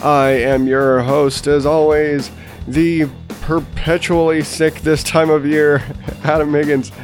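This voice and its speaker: A man's voice: on the high side, little pitch movement, slow, soft background music. A man in his thirties hosting his own podcast while stuffed up with a sinus infection, talking in a rambling, self-deprecating way with many restarts. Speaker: podcast host. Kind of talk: casual solo podcast monologue.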